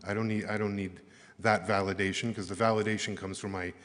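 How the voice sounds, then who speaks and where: A Canadian man giving earnest closing remarks at an arts summit, halting and thoughtful, with many fillers. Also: fairly low pitch, little pitch movement, fairly bright tone. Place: large conference hall. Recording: echoey hall with long reverb.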